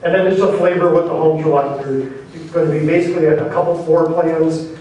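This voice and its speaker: Mellow man presenting in echoey hall. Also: fairly high pitch, fairly even intonation, quick pace, lo-fi sound. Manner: explanatory, patient, hedging with "kind of". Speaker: developer presenting a housing plan. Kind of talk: public meeting presentation. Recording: echoey hall from far off, faint noise.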